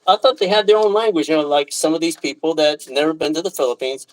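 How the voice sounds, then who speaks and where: A man from Taiwan hosting a casual live chat, talking in a halting, rambling way with frequent throat clearing. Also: fairly high pitch, poor audio. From Taiwan, left as a little boy. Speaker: live stream host.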